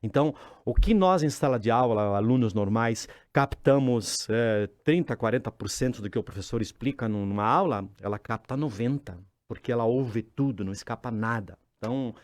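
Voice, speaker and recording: A man, co-hosting a talk show and telling stories in a lively way. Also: mid-range voice, normal speed, few pauses, dry recording. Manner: animated storyteller, acts out quotes. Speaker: co-host. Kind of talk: casual studio talk.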